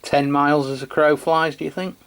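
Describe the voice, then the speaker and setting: A man's voice: on the high side, flat delivery, a little fast, heavy background noise. A man with an English accent chatting casually and informally as a radio hobbyist, in a matter-of-fact way. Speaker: CB radio hobbyist filming a radio test. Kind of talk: casual two-way radio chat.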